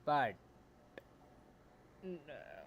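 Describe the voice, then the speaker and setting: A man streaming as a game developer, thinking aloud while he codes, often muttering and trailing off into soft asides, on a quiet home mic. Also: very animated, drawn-out words, creaky, dull audio. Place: home room. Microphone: desktop mic. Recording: faint background noise, low home mic.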